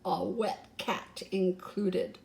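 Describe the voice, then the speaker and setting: Older woman reading a storybook, slow and mellow. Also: mid-range voice, fairly even intonation, gravelly. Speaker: storybook reader. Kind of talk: read-aloud children's story with asides.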